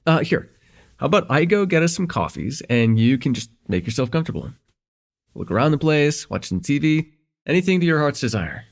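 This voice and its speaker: A man's voice: on the high side, very animated, a little fast, vocal fry. A young man playing a friendly, forgetful host in a slice-of-life ASMR roleplay, chatting casually and hesitantly with a close friend at home. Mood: easygoing, welcoming. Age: young adult. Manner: hesitant, rambling, chuckling, easygoing.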